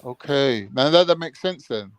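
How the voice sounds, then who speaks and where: A man's voice: medium pitch, expressive, normal speed, smooth. A man arguing his point on a live panel, interrupting and pressing others with repeated questions. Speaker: panel guest. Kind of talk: heated debate on a live call-in panel.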